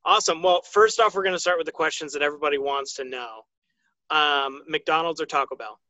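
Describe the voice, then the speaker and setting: Bright-voiced American man hosting a sports podcast. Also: on the high side, brisk, dry recording. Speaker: podcast host.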